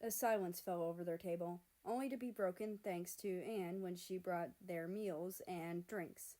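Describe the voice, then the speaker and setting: A woman reading fan fiction aloud as an expressive storyteller. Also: lowish voice, a little slow.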